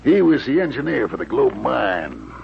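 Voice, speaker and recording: Casting: confident Western gang leader, very lively low delivery, gravelly, 1950s radio drama style, faint noise. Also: male voice, normal speed, heavily acted. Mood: scheming. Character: male outlaw gang boss, scheming bank robber. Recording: dull lo-fi old radio recording, hum.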